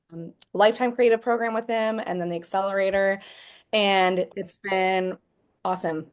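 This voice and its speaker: A woman introducing herself on a video call, bubbly and chatty with plenty of "like". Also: mid-range voice. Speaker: muralist and illustrator, co-host. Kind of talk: casual self-introduction.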